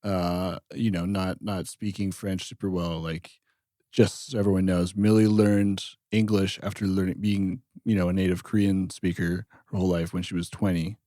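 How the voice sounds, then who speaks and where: A man in his thirties, a jazz bassist, chatting in an interview with a loose, rambling storytelling manner. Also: flat delivery, moderate pace, mellow, no room sound, clean studio sound. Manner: rambling storyteller, frequent "like" and restarts. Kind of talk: casual interview chat.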